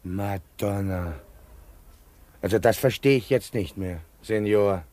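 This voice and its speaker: An actor in a German radio play playing an astonished, sympathetic listener who interrupts with exclamations. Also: male voice, lowish voice, slow, a little mellow, dry recording. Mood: bewildered.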